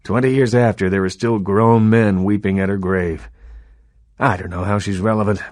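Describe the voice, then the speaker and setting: An actor playing an urbane, sardonic vampire baron in a video game, who talks in a quietly threatening way. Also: male voice, lowish voice, steady tone, normal speed, no room sound, clean studio sound, close to an everyday voice. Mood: commanding. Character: old vampire baron, suave, menacing film buff.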